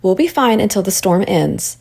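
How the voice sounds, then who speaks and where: A woman voicing a kind, reassuring prairie schoolteacher in a storybook, gentle and encouraging. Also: medium pitch, sing-song, normal speed, vocal fry, breathy edge, heavily acted.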